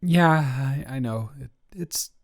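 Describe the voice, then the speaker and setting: A man's voice: mid-range voice, unhurried, vocal fry. Guy acting as shy awkward college boy, anxious and stammery, rambles on, sweet dormmate type in an audio roleplay. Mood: vulnerable, tender. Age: young adult. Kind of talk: intimate one-sided audio roleplay acting.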